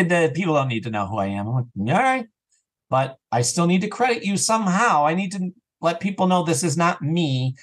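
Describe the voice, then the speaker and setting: A man chatting casually as an interview guest, telling stories and acting out imagined remarks as he goes. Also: a little high. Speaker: podcast guest, audio drama creator.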